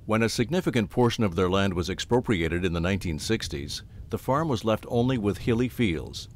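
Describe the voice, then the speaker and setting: A man narrating a documentary in a low voice with a steady, measured delivery. The read is scripted and even, with a faint hiss of noise behind it.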